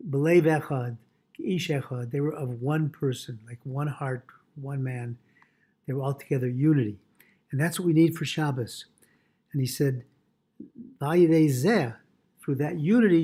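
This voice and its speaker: Husky male rabbi giving a Torah lesson. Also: on the high side, darkish tone. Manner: halting, repeats and restates phrases in short pieces. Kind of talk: Torah lecture, reading Hebrew phrases and explaining them.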